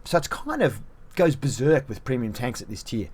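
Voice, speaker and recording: A man's voice: medium pitch, big pitch swings, normal speed, a little mellow, slightly rough. A man giving a casual review of a game tank, running through stats in a chatty, self-deprecating way.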